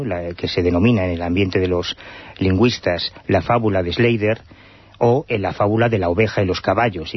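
Male radio co-host, husky, lowish, explanatory, lo-fi sound. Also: dry recording. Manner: storytelling, explanatory. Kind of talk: radio talk show segment. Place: radio studio. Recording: dry lo-fi radio broadcast.

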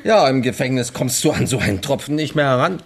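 A man's voice: mid-range voice, animated, fast talker, close to an everyday voice. Radio drama role: dutiful, stiff doctor male actor, mid-range voice, hesitant repetitions, faint noise behind. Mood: weary, relenting. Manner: formal, hesitant with repeated words. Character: stiff, dutiful doctor, disapproving but giving in.

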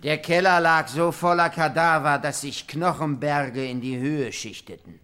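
A depraved, savouring robber captain. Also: male voice, a little high, measured pace, bright, forceful tone, clean tone. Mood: matter-of-fact, boastful. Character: depraved male robber captain confessing cannibal atrocities with relish. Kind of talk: German radio play, archaic monologue.

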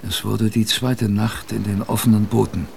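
A man's voice: fairly low pitch, flat delivery, normal speed, vocal fry, a little mellow, a little hiss or hum. An actor playing a weary polar expedition sailor who narrates his ordeal dramatically in a radio drama. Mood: weary, grim.

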